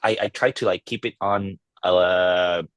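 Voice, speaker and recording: Laid-back male guest, very low voice, dry close sound, loose rambling delivery. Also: clean tone, dry recording. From Philippines. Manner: relaxed, halting, many fillers and restarts. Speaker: podcast guest, content creator. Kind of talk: casual interview chat.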